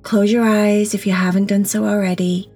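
Female meditation guide for children: hushed, husky-breathy delivery with a creaky edge, gentle and reassuring. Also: mid-range voice, level tone, moderate pace. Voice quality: soft, breathy, often near a whisper. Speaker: guided meditation teacher and host. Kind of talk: children's guided meditation, slow soothing instruction. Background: soft background music.

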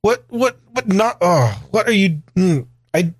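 A man's voice: sits high, very animated, measured pace, breathy edge, no echo, voice pushed up, exaggerated voice. A man playing a wary, impatient late-teen younger brother in a gothic tabletop game, stammering and repeating himself. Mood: bewildered. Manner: stammering, repeating words in agitation.

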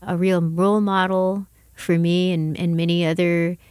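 Low-voiced woman, close and dry recording. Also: flat delivery, measured pace, darkish tone, clear, resonant tone, no room sound. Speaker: interviewer and exhibition curator. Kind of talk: scripted introduction and conversational interview.

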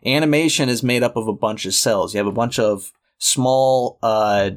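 A man's voice: medium pitch, moderate pace. Nasal guy rambling on his podcast, laughs a lot, keeps going off track. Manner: rambling, laughs often, self-mocking. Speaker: podcast co-host. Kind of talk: casual chat.

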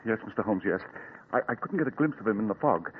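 An actor playing a shaken, wealthy gentleman in a 1940s radio drama, talking hesitantly. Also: fairly low pitch, expressive, fast, natural voice. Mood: anxious. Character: frightened wealthy gentleman, middle-aged. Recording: tinny old radio with faint hiss.

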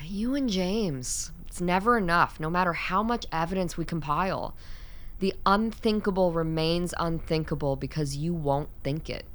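A low-pitched actress playing a zealous woman scientist in a radio play. She is stern and authoritative, and speaks in deliberate, formal phrases with great earnestness. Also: fairly bright tone, natural voice. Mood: grave, exasperated.

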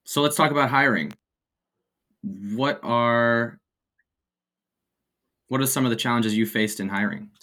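A man's voice: mid-range voice, measured pace, long pauses, sharp tone. A man hosting a startup podcast, who asks his questions in a casual way. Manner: friendly, informal questions. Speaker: podcast host. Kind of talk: podcast interview.

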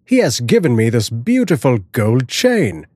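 A man voicing a cheerful, oblivious father who admires the bird brightly. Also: mid-range voice, big pitch swings, a little slow, dry recording, clean studio sound. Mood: delighted.

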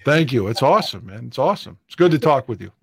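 A man's voice: mid-range voice, very animated, quick pace, gravelly. A man co-hosting a podcast, easygoing and apologetic, drifting off topic in casual chat. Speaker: podcast co-host.

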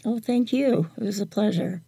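An older woman talking reflectively as an interview guest, with halting, hesitant phrasing. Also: mid-range voice, gravelly, warm tone, husky, no echo. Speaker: podcast guest, writer.

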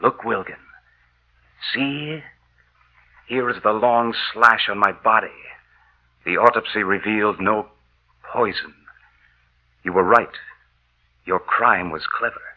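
An actor playing a cold, vengeful walking dead man in an old radio horror play, speaking in an ominous, deliberate, repetitive way. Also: on the low side. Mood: menacing. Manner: slow, ominous, deliberate, repeating himself. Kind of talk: 1940s radio horror acting. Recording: muffled old 1940s radio recording.

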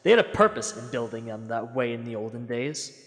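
A young man plays a courteous villain with a sinister core in an old-style radio thriller. His voice is medium in pitch and rings through a long hall reverb. He speaks deliberately and theatrically. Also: animated, fairly bright tone, husky. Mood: gloating menace. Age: young adult. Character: outwardly polite, secretly sinister male villain, a restorer. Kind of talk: classic radio drama acting.

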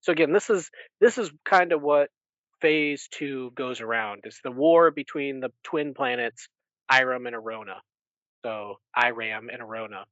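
A man's voice: medium pitch, normal speed, a bit bright, no echo, muffled recording. A man co-hosting a podcast, chatting casually through a book recap in a rambling way and often correcting himself. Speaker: podcast co-host.

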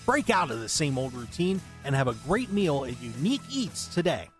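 A man's voice: a little high, very animated, moderate pace, a bit bright, soft background music. A man hosting a radio show in a casual sports-talk style. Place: radio studio.

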